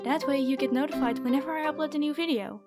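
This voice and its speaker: A woman's voice: high-pitched, fairly even intonation, quick pace, over music. A woman speaking as a channel host, giving a friendly scripted outro to viewers.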